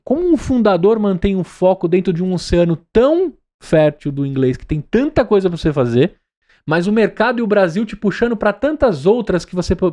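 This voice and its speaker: A man's voice: sits high, darkish tone. A man from Brazil with a São Paulo accent, a podcast host asking long chatty questions, often ending with a tag question. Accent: São Paulo Portuguese. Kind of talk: conversational interview.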